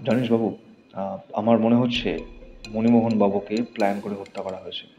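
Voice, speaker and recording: Man playing calm, probing detective, dull recording. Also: lowish voice, brisk, faint music behind, voice pushed down, natural voice. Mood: pensive. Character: calm, probing elder-brother detective, thoughtful smoker. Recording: dull recording with faint noise.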